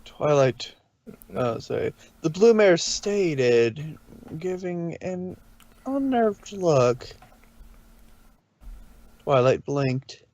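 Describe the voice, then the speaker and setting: A man's voice: fairly high pitch, big pitch swings, very slow, long pauses, a little mellow. A man narrating fan fiction from a script, on a dull, lo-fi recording.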